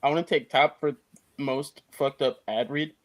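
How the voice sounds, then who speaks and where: A man's voice: medium pitch, fairly even intonation, normal speed. A man hosting a podcast, joking and teasing his guest in casual chat. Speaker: podcast host.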